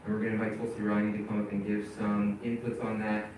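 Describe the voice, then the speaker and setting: A man with a low voice gives a casual presentation to his community. He stops and restarts often and fills gaps with little sounds. The mic is far off in a very echoey hall, with a faint hiss underneath. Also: monotone, darkish tone. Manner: halting, with many fillers and restarts.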